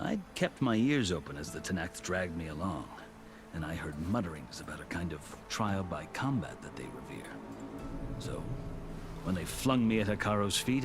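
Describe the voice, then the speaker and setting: Proud veteran warrior-lawgiver, deep voice, measured formal storytelling for a game cutscene, music underneath. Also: male voice. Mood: grave storytelling. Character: seasoned, proud male warrior turned lawgiver, earnest storyteller. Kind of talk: scripted video game cutscene dialogue. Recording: music behind the voice.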